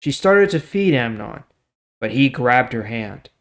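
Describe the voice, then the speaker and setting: A man's voice: medium pitch, big pitch swings, unhurried, a bit bright. Casual male scripture reader. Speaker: host of a daily Bible reading series. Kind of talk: scripture reading with informal commentary.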